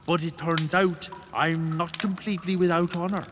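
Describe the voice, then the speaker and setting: A man's voice: on the high side, level tone, moderate pace, a bit bright, close to an everyday voice. A man playing a blunt, scornful undead warrior general in a fantasy audio drama, speaking in insults and old-fashioned phrases. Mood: bitterly hateful. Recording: echoing cave reverb, faint noise.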